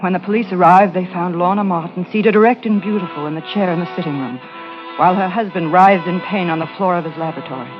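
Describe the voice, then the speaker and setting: A woman's voice: fairly low pitch, fast talker, close to an everyday voice. Actress as shaken young woman in radio thriller. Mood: numb, haunted. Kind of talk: 1940s radio acting, dramatic narration. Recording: dull old radio recording. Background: dramatic music.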